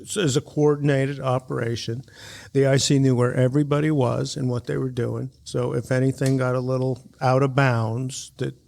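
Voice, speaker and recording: A man's voice: mid-range voice, flat delivery, moderate pace, gravelly, a little mellow. A man co-hosting a podcast, giving casual analysis in conversation. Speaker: podcast co-host.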